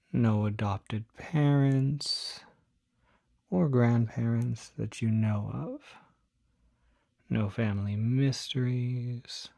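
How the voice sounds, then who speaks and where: Slow, soft demon doctor, acted by a man. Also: mid-range voice, gravelly, no echo, studio quality. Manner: gentle, measured, politely formal bedside manner, often dropping to a whisper. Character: courteous, soft-spoken male demon doctor, fussy and reassuring. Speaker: doctor at a supernatural clinic. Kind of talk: ASMR roleplay.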